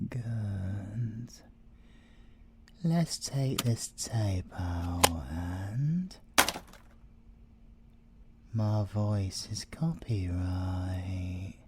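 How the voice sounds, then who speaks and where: A British man voicing a possessive, gloating male siren in an ASMR audio roleplay, theatrical and teasing, with a breathy voice that slips into whispers. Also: lowish voice, warm tone, slight background noise. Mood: seductive, commanding. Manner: theatrical, teasing, slow and deliberate, slipping into whispers.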